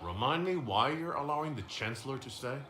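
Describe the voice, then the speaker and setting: An actor playing a calm, direct, questioning male hero in a fantasy video game. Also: mid-range voice, brisk, natural voice. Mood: measured, questioning. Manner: direct, questioning, level-headed. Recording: roomy echo, clear background noise.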